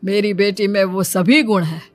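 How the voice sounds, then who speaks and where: A woman's voice: medium pitch, fairly even intonation, normal speed, close to an everyday voice. A woman voicing an ageing widowed father, loving and worried for his daughter, in a moral story. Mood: gentle, earnest. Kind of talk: moral story character dialogue. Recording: music behind the voice.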